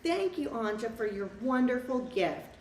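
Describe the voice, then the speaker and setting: A woman's voice: a little high, big pitch swings, measured pace, a little mellow. A woman voicing a kindly, gracious old Santa Claus from a children's storybook. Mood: kindly, welcoming. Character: Santa Claus, kindly old man greeting and thanking a girl. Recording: echoey room, distant mic, faint noise.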